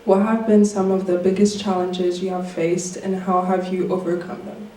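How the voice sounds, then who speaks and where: A man's voice: high-pitched, flat delivery, normal speed, warm tone. A young man acting as an interviewer and reading prepared questions aloud. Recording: echoey room, distant mic, faint noise.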